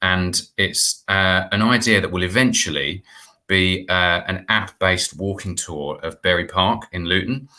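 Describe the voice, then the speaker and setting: A middle-aged man with a British accent talks as an interview guest. His voice is very low, with a bright, forward edge. He explains things informally over a video call. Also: unhurried. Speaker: guest, playwright and producer. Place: indoor room on video call. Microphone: wired earphones.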